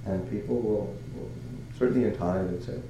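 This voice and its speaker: An older man from Canada giving a Buddhist talk as a monk, halting and searching for words with many fillers and soft chuckles. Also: fairly low pitch, heavy reverb, background noise. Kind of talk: dharma talk.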